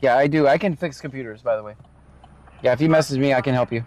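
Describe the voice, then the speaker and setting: Chill guy hosting a live stream, helpful with tech questions, mid-pitched voice, steady street noise behind. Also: fast talker. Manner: relaxed, helpful tech explainer. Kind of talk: casual live chat with viewers.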